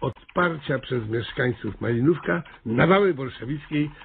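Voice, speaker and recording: An actor playing a pompous, officious small-town mayor who fumbles for words in a radio comedy. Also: male voice, mid-range voice, expressive, measured pace, gravelly. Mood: dictating. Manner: officious, pompous, hesitant fillers. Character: pompous small-town mayor, self-appointed theatre director. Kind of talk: radio comedy drama acting. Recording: muffled, noisy, phone-like radio recording.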